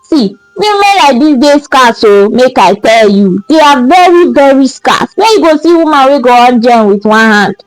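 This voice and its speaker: A woman's voice: high voice, expressive, moderate pace, few pauses, no room sound, soft background music, low-quality recording. A woman with a Nigerian accent voicing an exasperated young man who scolds his friend with emphatic questions and interjections in a folktale.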